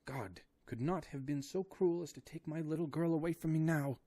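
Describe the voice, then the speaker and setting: A man's voice: on the high side, moderate pace, warm tone, voice pushed up, close to an everyday voice. Man doing a frantic, pleading old professor. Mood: desperate. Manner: pleading, old-fashioned formal speech. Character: frantic old male professor, anxious father.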